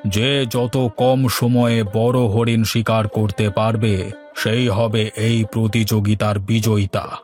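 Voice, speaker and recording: A man's voice: fairly low pitch, little pitch movement, slow, a little mellow, faint music behind. A man voicing a stately old landlord father in a cartoon, speaking formally and with command. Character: stately old father, landlord testing his two sons. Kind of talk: Bengali cartoon dubbing.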